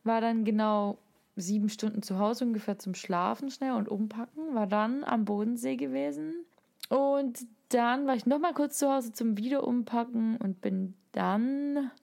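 A woman's voice: on the high side, a little slow, gravelly, darkish tone. A woman telling anecdotes in a casual, enthusiastic, playful way, using slangy words as a podcast co-host.

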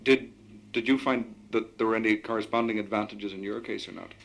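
A man leading a discussion, comparing experiences and asking measured, articulate questions. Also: lowish voice, fairly even intonation, creaky, fairly bright tone. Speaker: interviewer. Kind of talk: radio discussion.

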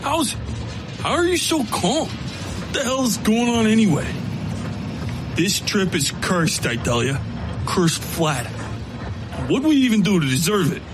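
A jittery, superstitious American man complains in a folksy, high-pitched voice. He sounds agitated and spooked, a working hand in a dark audio story with music underneath. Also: sing-song, measured pace, pauses often. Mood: panicked complaint.

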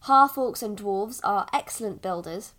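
A woman playing a patient village mother in an audio drama, softly coaxing and a little evasive about the past. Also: mid-range voice, measured pace, lightly acted. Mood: gentle, explaining.

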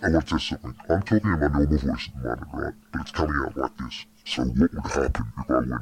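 An extremely deep, muddy voice. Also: male voice, fast talker, gravelly, slight background noise. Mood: deadpan. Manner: hard to understand. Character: booming ultra-deep modulated man. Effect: deep voice changer.

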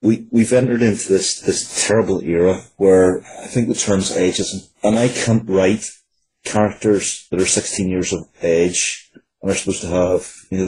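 A man in his forties giving earnest, halting answers as a writer being interviewed. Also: sits low, darkish tone, slightly rough, rough recording. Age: 40s.

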